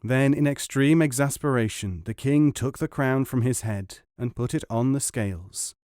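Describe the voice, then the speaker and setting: A man narrating a folk tale in a measured, expressive audiobook reading. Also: medium pitch, no room sound, clean studio sound. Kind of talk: scripted storybook narration.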